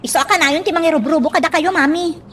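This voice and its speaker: Actress as young protective daughter, extremely high pitch, exasperated, dramatic, Ilocano radio drama. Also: female voice, fast talker. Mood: exasperated, concerned. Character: young daughter, annoyed yet protective of her mother.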